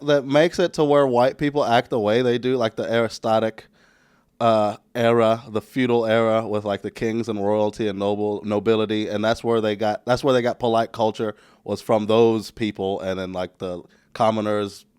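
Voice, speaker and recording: A man with a Texan accent, a comedian guest on a podcast, chatting casually in a laid-back, halting way and laughing often. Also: on the low side, darkish tone, smooth, no room sound, clean studio sound. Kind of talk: casual chat.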